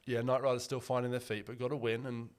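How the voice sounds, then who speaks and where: A man's voice: medium pitch, level tone, fast talker. A young man with a mid-range voice, talking in a casual and chatty way. He sounds upbeat and laughs easily as he co-hosts a sports podcast. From New Zealand. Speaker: podcast co-host.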